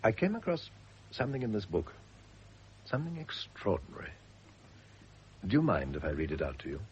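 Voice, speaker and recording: An actor playing a serene, bookish man of quiet conviction in a radio drama, earnest and deliberate. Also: lowish voice, wildly swooping pitch. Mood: earnest, wondering. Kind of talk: 1970s British radio drama acting. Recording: dull, noisy old radio drama recording.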